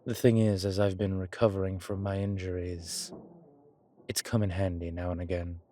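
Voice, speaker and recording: A man playing a courteous, centuries-old vampire in an intimate audio roleplay, with refined, measured speech, hesitant pauses and formal, old-fashioned wording. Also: sits low, level tone, mellow, slight background noise, natural voice. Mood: gentle, matter-of-fact.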